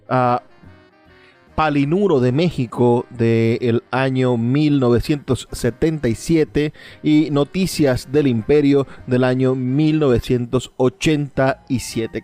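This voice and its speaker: Male host, formal and emphatic presenter delivery, medium pitch, music underneath. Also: darkish tone. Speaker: programme host. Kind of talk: spoken introduction to a literary programme.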